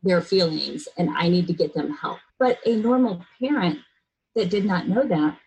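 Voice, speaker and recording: A woman's voice: on the low side, expressive, normal speed, darkish tone, slightly rough, poor audio. A middle-aged American woman speaking earnestly and bluntly in a serious conversational interview, with emphatic delivery. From United States, raised in a military family abroad and in Florida.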